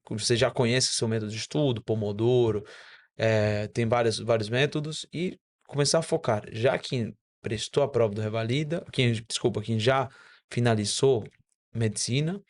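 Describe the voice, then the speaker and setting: Spanish-speaking man explaining plainly. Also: medium pitch. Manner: animated, explanatory, with self-corrections. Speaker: doctor guest. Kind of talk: casual podcast conversation.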